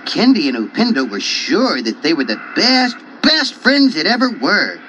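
A man reading a children's folk tale as a storyteller, in an animated scripted style. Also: high voice, normal speed, slight background noise. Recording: dull cassette tape.

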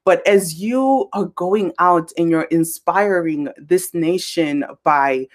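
A woman's voice: on the low side, takes it slowly. A woman of twenty from Zimbabwe asking thoughtful questions as a student interviewer on a video call from a bedroom. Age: 20s. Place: small bedroom at home. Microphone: laptop webcam on video call.

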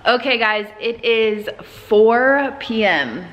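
A woman's voice: fairly high pitch, lively intonation, slow pace, highs cut off, soft background music. Chatty woman vlogging her cleaning day. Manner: chatty, upbeat, lots of "like" and "um". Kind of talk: cleaning vlog narration and selfie chat. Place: large house, indoors. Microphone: phone camera at arm's length.

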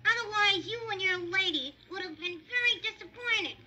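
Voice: extremely high pitch, animated, moderate pace, pushed and bright, slight background noise, full character voice. A performer voicing a clever, cocky young male monkey in a storybook tale. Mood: sly. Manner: cocky, teasing. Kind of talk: storybook character voice.

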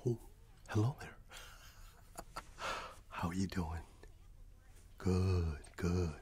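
Casting: male actor as friendly middle-aged candy man, low soft whisper, slow and welcoming, ASMR roleplay, noisy recording. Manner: gentle, welcoming, hesitant. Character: friendly, wistful candy shop owner greeting customers.

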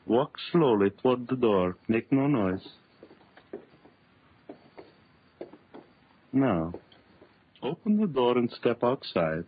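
An actor playing a megalomaniac villain doctor in a 1930s radio horror drama, theatrical, commanding and boastful. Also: male voice, mid-range voice, slightly rough, no room sound. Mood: menacing. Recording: muffled old 1930s radio recording.

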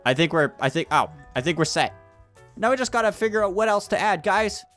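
A young man chatting casually and excitably with friends as he hosts a gameplay video. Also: high-pitched, brisk. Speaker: gaming video host. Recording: game music behind the voice.

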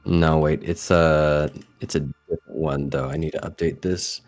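Guy running a dnd game, really deep, lots of pauses hunting for words, bit of music under him. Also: steady tone, darkish tone. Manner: halting, hesitant, searching for words. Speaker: game master. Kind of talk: narrating a tabletop game.

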